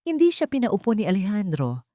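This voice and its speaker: A woman's voice: mid-range voice, huge pitch swings, a little fast, warm tone, no room sound, studio quality. A woman narrator reading a scripted audio drama in a dramatic storytelling style.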